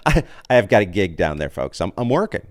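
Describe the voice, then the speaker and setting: A man's voice: sits low, big pitch swings, brisk. A man, a podcast guest who chats casually and acts out the people he quotes while telling stories. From United States. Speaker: podcast guest, open mic organizer. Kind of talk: casual interview chat.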